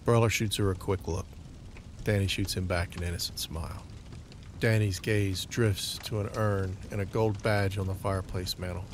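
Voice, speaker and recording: Very deep male drama narrator. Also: fairly even intonation, normal speed, background noise. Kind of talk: scripted narration of an audio drama.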